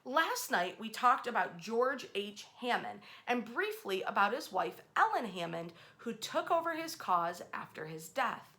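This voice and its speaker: A woman's voice: fairly high pitch, measured pace, few pauses. An American woman hosting a history storytelling series, speaking in a casual, conversational and emphatic way. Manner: animated and emphatic, acts out short quotes.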